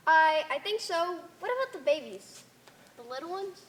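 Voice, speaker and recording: A woman's voice: very high-pitched, sing-song, moderate pace, a bit bright, heavily acted. A woman playing a stubborn, candid Earth girl among aliens in an amateur stage play, plain-spoken and emphatic. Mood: bewildered. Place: theater stage.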